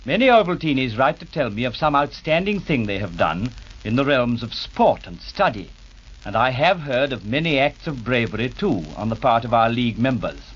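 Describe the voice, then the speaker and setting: A man's voice: medium pitch, big pitch swings, moderate pace, breathy edge. A man giving a formal, avuncular scripted address to young radio club members. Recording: muffled old 1930s radio recording.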